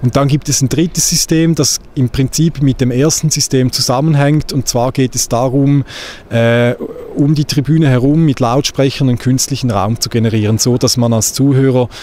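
Man explaining, clear outdoor noise. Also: mid-range voice, fairly even intonation, normal speed, warm tone. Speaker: sound engineer. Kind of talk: technical explanation to camera. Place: outdoors on an open-air stage. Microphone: handheld microphone held at chest.

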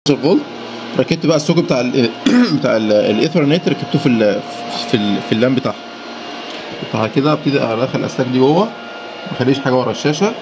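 Egyptian male technician, casual explainer, very fast, clearly noisy location sound. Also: mid-range voice, lively intonation. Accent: Egyptian Arabic. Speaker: installer. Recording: noisy on-site handheld recording.

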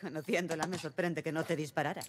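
A woman's voice: low-pitched, steady tone, fast, noisy background, lightly acted. A woman playing a tough, decisive smuggler in a video game, commanding and no-nonsense, with a Castilian Spanish accent. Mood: questioning, skeptical. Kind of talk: dramatic video game voice acting.

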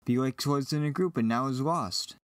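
A man's voice: medium pitch, moderate pace, dry recording, studio quality, natural voice. An actor playing an earnest, eager young farm boy hero in a Shakespearean dramatic reading. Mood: anxious, questioning.

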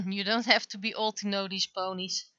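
Middle-aged female streamer, native Dutch speaker. Bright tone, rambling casual chat, slightly dull recording. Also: medium pitch, little pitch movement, moderate pace, dry recording. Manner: rambling, thinking aloud. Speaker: streamer and webcomic artist. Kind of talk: casual livestream chat while drawing. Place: indoors at a computer.

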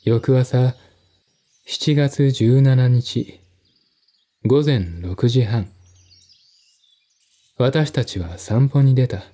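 Slow, mellow male narrator. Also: mid-range voice. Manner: measured, deliberate, formal. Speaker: narrator, solo audiobook reader. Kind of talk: dramatic literary narration.

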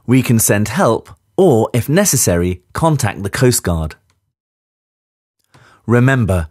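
Lively-pitched man reading safety instructions. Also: no echo, studio quality. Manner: clear, measured instructional delivery. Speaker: safety briefing narrator. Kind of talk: scripted voice-over instructions.

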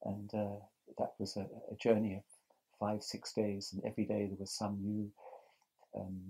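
An older man from England speaks low and gravelly, his tone dark and husky. He answers slowly and reflectively, restarting his sentences as he looks for the right words. He was recorded outdoors on a terrace. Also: level tone, rough recording. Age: 60s. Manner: thoughtful, halting, with many restarts. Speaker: interviewee.

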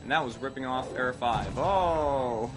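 Young Midwestern guy with a cold, mid-pitch, chatty and self-deprecating while he plays. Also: unhurried. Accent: Midwestern American. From American Midwest. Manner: rambling, self-mocking, with sudden outbursts. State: sick, coughing. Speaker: let's play host. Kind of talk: casual gameplay commentary. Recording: game music playing loud underneath. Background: game music.

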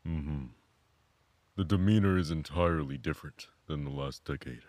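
A man playing a calm, grave, philosophical mentor in an audio drama, speaking in a measured, contemplative way. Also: rumbling low, no echo.

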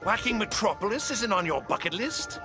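An actor voicing a manic, mocking clown supervillain in a video game, theatrical and gleeful. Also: male voice, high voice, lively intonation, a little fast. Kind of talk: video game character acting. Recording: loud music behind.

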